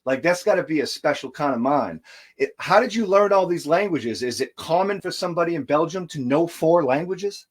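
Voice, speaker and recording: A man's voice: on the high side, lively intonation, fairly quick, husky. An American man hosting a casual interview, laid-back and enthusiastic, who says man a lot. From United States. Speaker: podcast host and rapper.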